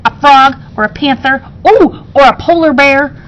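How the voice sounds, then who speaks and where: A woman's voice: high voice, normal speed, fairly bright tone, pitched above the performer's own voice, rough recording. A woman voicing a small, blunt, eager girl customer in a children's storybook. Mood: eager, demanding.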